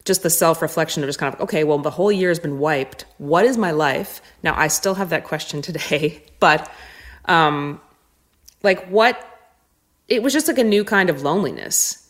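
A woman with a low voice speaks in a casual, reflective podcast style. She thinks aloud as she goes, often stopping to rephrase and putting questions to herself. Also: moderate pace. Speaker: guest, touring musician.

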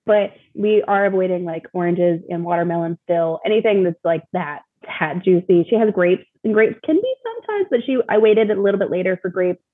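Thin phone-like audio, woman, husky voice, informal chatty storytelling, interview guest. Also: on the low side, lively intonation, a little fast, hardly any pauses. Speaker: interview guest, mother of young child. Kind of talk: casual chat.

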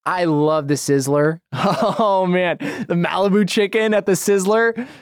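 A man's voice: sits high, smooth, no echo, clean studio sound. Laughing man hosting a podcast. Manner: laughs often, bursts into quick excited asides. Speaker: podcast host. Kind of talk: casual chat.

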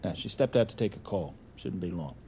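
A smug, stuffy finance boss. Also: male voice, sits low, sing-song, a little fast, darkish tone, slightly rough, full character voice. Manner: condescending, flustered laughs. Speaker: financial advisor. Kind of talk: audio drama acting.

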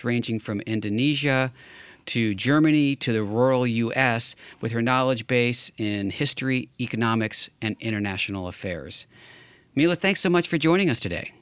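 A man hosting a podcast in a conversational way, often pausing partway through his phrases as he speaks. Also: mid-range voice, a bit bright, clean tone, no room sound. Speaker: podcast host.

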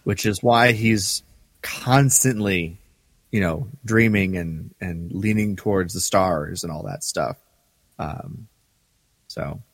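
A man's voice: low voice, slow, no room sound, big-room sound. A middle-aged man co-hosting a podcast in casual, conversational discussion. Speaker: podcast co-host.

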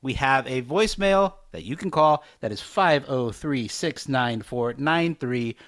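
A man's voice: medium pitch, lively intonation, measured pace, a bit bright. A man co-hosting a podcast, talking casually in a polite, appreciative manner. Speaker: podcast co-host. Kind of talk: casual podcast chat with sign-off. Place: recorded over video chat.

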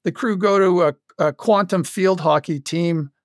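A man with a high-pitched voice and a very dry, close sound speaks as an interview guest. He talks casually and in an animated way, breaking off and restarting as he tells his stories. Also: unhurried, dry recording. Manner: animated, self-interrupting storyteller who acts out quoted dialogue. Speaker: guest, audio drama creator and writer.

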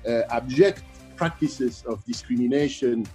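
A man's voice: medium pitch, unhurried, mellow, soft background music. A man in his sixties, raised in Belgium, answering interview questions as a professor, hesitant with many fillers and restarts. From Democratic Republic of Congo, raised in Belgium. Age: 60s.

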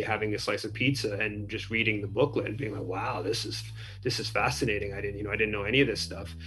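A man, a former student union officer, recalling in an interview with many hesitant pauses. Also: fairly low pitch, a bit bright, rough recording. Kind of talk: interview recollection.

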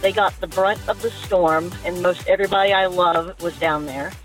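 A woman's voice: on the low side, steady tone, moderate pace, soft background music, low-quality recording. A woman from Alabama leaving a casual personal message as a listener of a show. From Alabama, United States.

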